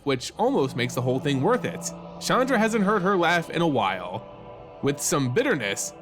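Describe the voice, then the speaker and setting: A man reading scripted fantasy narration as a host and storyteller, with a measured, dramatic delivery. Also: a little high, fairly bright tone. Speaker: host and story narrator of a fan lore channel. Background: music under the voice.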